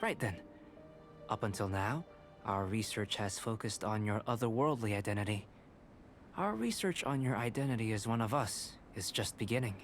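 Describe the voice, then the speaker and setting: Calm, methodical young alchemist. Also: male voice, lowish voice. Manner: measured, courteous, analytical. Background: game music.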